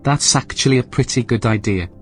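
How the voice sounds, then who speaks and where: An actor playing a composed, snide artificial intelligence running a station in a sci-fi audio drama, speaking in a precise, formal, deadpan way. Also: male voice, mid-range voice, brisk, faint music behind, close to an everyday voice. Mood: dryly amused. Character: dry, snide male AI station supervisor, composed.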